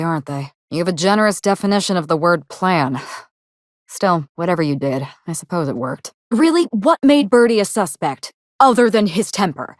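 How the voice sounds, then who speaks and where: A woman playing a guarded, world-weary actress in a video game, speaking in a measured, dry, wry way. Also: lowish voice, no echo. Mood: bitter, cynical, then insistent. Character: guarded, world-weary actress investigating her sister's murder. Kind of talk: scripted game dialogue.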